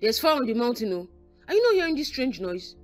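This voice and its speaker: A woman's voice: a little high, big pitch swings, quick pace, faint music behind. A woman acting a fretful, superstitious housewife in a comedy skit, pleading and insistent. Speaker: comic actor.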